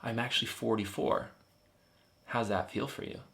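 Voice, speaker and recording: A man in his thirties, a life coach, gently and earnestly explaining a therapy method, with frequent fillers and restarts. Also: lowish voice, steady tone, normal speed, creaky. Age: 30s. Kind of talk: explanatory teaching talk.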